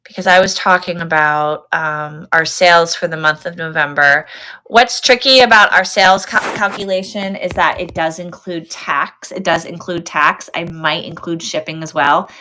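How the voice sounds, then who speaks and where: A woman hosts a casual live shopping stream in a slightly low voice with a bright, crisp edge. She talks directly to her viewers in a chatty way, responding to comments and working things out aloud. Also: moderate pace. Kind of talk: casual livestream chat with viewers.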